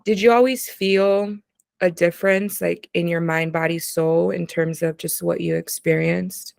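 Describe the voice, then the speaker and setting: A woman hosting an interview, asking long, measured questions. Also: lowish voice, steady tone, gravelly, a little mellow, dry recording, studio quality. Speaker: host. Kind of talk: interview chat.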